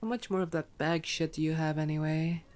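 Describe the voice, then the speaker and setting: A woman's voice: sits low, steady tone, brisk, a little mellow, close to an everyday voice. A woman voicing a playful, cocky female vampire in flirty, sardonic ASMR roleplay. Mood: dry grumbling. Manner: flirty, sardonic, foul-mouthed banter.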